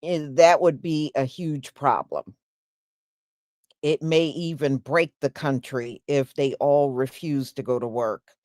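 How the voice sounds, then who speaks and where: An American woman commentator speaks at a slow pace in a dry, close recording. Her delivery is colloquial and emphatic, addressed straight to the audience as personal opinion. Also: extremely low pitch, fairly even intonation, a little mellow, no room sound. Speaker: host commentator.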